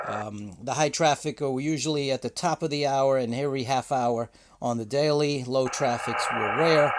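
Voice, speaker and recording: A man hosting a ham radio channel, explaining in a casual, halting way with many filler sounds. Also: mid-range voice, very noisy.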